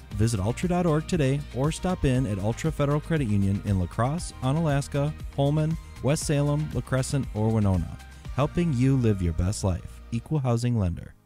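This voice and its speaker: An American man reads a scripted radio commercial in a mellow, warm voice. His pitch is average and music plays behind him. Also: expressive, a little fast. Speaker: advertising voice-over.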